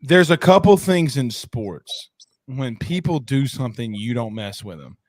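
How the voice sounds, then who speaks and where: A man hosting a sports talk show, chatting and asking questions in an informal, animated fan's way. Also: mid-range voice, a little slow, dry recording. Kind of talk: casual sports banter and questions.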